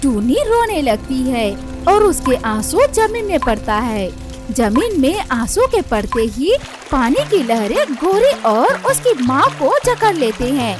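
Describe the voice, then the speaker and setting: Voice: high-pitched, very animated, unhurried, over music. A narrator reading a scripted children's cartoon story.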